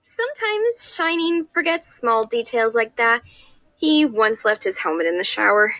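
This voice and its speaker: A woman voicing a composed, gently teasing pony princess in a cartoon, speaking in a poised, wry way. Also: a little high, big pitch swings, moderate pace, no room sound, heavily acted. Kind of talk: fan audio drama acting.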